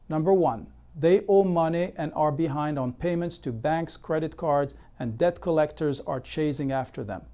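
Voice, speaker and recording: A man delivering scripted instructional narration as an educational presenter, in a measured, methodical, explanatory way. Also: a little high, warm tone. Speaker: presenter of real estate consumer education.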